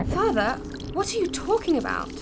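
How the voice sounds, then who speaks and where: An actress playing a young alien princess, an urgent and emotional daughter, in a sci-fi audio drama. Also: female voice, extremely high pitch, huge pitch swings, normal speed, creaky, very noisy, full character voice. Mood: urgent, exasperated.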